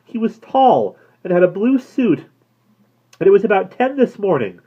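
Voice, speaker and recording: An anxious young woman, high-pitched and breathless, pleading with a desperate urgency, as a man reading a story puts her on. The voice leaps high above the narrator's own, and the recording sounds dull and lo-fi. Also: big pitch swings, normal speed, husky, exaggerated voice.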